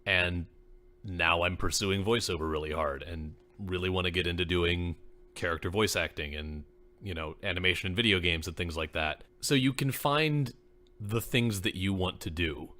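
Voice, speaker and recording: A man's voice: low-pitched, moderate pace, pushed and bright. A man co-hosting a casual podcast, an actor with a voice people call made for radio, talking earnestly and saying "like" often. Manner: earnest, peppers speech with "like".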